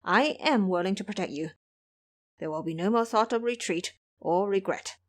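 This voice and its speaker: A woman's voice: mid-range voice, normal speed, dry recording, clean studio sound, natural voice. A woman voicing a rebellious, hot-tempered male monkey disciple in an audio storybook drama, exclaiming and pleading. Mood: submissive.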